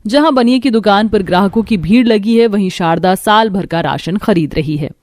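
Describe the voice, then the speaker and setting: A woman's voice: mid-range voice, moderate pace, a little mellow, no room sound, studio quality. Woman narrating a dramatic story. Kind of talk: dramatic scripted Hindi cartoon story narration.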